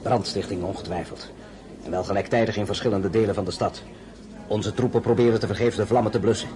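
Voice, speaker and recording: A suave, shrewd male courtier played by an actor. He speaks in a measured, insinuating way, with polished courtly manners, as in a period radio drama. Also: on the low side, steady tone, noisy background, natural voice. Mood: grave, discreet. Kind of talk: radio play acting.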